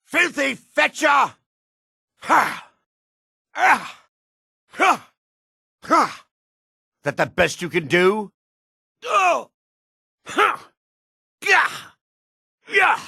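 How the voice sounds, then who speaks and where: An actor playing a gruff, proud male dark elf in a fantasy video game, with a rasping voice and theatrical delivery. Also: very high voice, no echo, clean studio sound, heavily acted. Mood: battle fury. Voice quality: gravelly, rasping.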